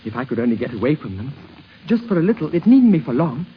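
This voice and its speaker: An actor playing a sensitive young grandson in a 1950s radio drama, speaking earnestly and hesitantly, full of emotion. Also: male voice, a little high, very animated, fast talker. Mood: passionate, yearning. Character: sensitive, yearning young musician grandson, shy but passionate. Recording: muffled, hissy 1950s radio recording.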